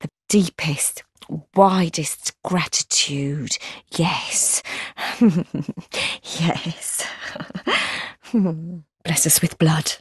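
Breathy, brittle, fearful townswoman. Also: sits low, very slow, dry recording, clean studio sound, full character voice. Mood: fervent. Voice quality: husky, breathy. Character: fearful, haughty townswoman, falsely sweet and brittle. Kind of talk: video game character acting.

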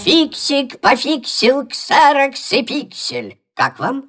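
A man's voice: extremely high pitch, wildly swooping pitch, slow pace, heavily acted. A man voicing a pompous, proud old professor in a children's cartoon, with a declamatory manner. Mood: flustered, stubborn. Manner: declamatory, theatrical. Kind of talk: animated children's cartoon acting. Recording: music under the voice.

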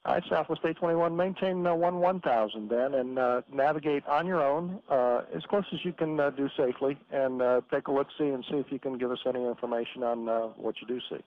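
Brisk male controller over radio. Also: medium pitch. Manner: brisk, procedural, with filler pauses. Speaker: air traffic controller. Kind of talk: radio phraseology. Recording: muffled radio transmission with hiss.

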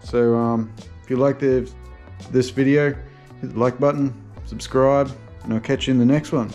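A young Australian man giving relaxed, mumbled running commentary to himself while fishing from a kayak at sea, recorded on a mounted action camera. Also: medium pitch, moderate pace. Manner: relaxed, mutters to himself, laid-back slang. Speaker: fishing vlogger. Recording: music playing under the voice. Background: music over the talk.

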